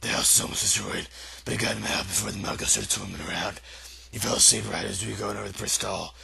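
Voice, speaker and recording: A huge, shaggy, soft-hearted storybook giant, put on by a man. His voice drops very low into a gruff mumble, humble and full of feeling, recorded dry. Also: steady tone, fast, no room sound, exaggerated voice. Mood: deferential.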